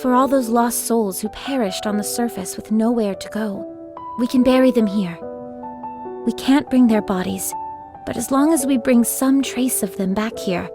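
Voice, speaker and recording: An actress playing a composed, weary young female squad leader in a video game, speaking in a measured, formal way. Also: on the high side, natural voice. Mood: wistful. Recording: background music under voice.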